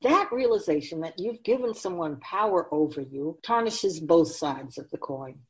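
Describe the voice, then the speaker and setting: A woman voicing a wise, ancient spirit who keeps souls, teaching patiently and deliberately in a scripted radio drama. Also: on the low side, expressive, close to an everyday voice. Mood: patient, explaining. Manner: measured, deliberate, didactic, solemn.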